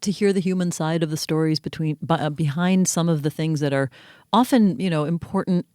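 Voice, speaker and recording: Quick, probing male podcast host. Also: a little high, warm tone, smooth, no echo, studio quality. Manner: probing, pressing follow-up questions. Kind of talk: podcast interview.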